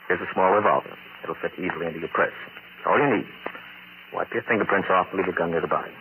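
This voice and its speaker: An actor playing a deranged, menacing psychiatrist in a 1940s radio mystery, halting and insinuating, on a noisy old recording. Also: male voice, low-pitched, phone-quality sound, close to an everyday voice. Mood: coolly persuasive. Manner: halting, insinuating, given to sinister chuckling. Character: deranged, scheming male psychiatrist, menacing and gloating.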